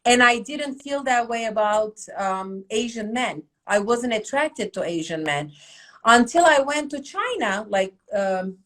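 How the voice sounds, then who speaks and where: A middle-aged woman from Turkey with a Turkish accent, hosting a casual podcast chat in an animated, emphatic way. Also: a little high, unhurried. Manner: animated, emphatic, asks rhetorical questions. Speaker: academy host.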